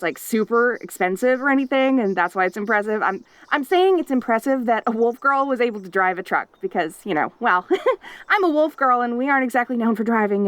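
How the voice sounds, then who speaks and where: A woman's voice: medium pitch, no room sound, close to an everyday voice. A woman playing a hyper, clingy, perky young wolf girl in an audio roleplay, with a giggly, rambling, stammering and eager delivery. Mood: bragging, rambling. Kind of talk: audio roleplay addressed to the listener.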